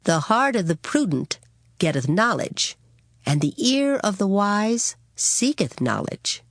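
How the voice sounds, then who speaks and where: A woman giving an earnest, measured, dramatized reading of scripture. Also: mid-range voice, very animated, no echo, studio quality. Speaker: scripture reader. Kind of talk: dramatized Bible audiobook reading. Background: plucked guitar music.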